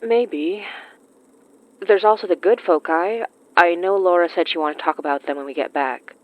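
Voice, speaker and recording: A woman's voice: lowish voice, moderate pace, dry recording, phone-quality sound, lightly acted. An actress playing an earnest, troubled young woman, speaking hesitantly and thoughtfully in a naturalistic audio drama. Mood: pensive.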